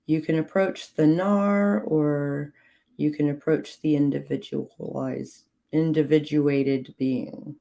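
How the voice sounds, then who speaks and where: A slightly hoarse woman with a low, gravelly voice reads aloud slowly and evenly on a livestream. Her tone is dark and mellow, and she drops in short, dry asides between passages. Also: steady tone. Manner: slow, measured reading with clipped, dry asides to chat. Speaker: livestream host reading from a witchcraft book. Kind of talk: reading aloud with chat asides.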